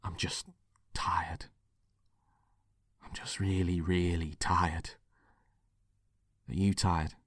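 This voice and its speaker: A man's voice: low voice, little pitch movement, drawn-out words, long pauses, dry recording, full character voice. A man playing a volatile, possessive boyfriend who pleads and menaces in a dramatic script reading. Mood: weary.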